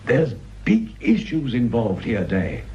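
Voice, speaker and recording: Mellow, expressive senior police boss. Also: male voice, mid-range voice, takes it slowly, heavily acted. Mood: curt, pressing. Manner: curt, authoritative, smooth-talking. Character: evasive senior male police boss bowing to orders. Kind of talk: broadcast radio drama acting. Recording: dull sound with faint noise.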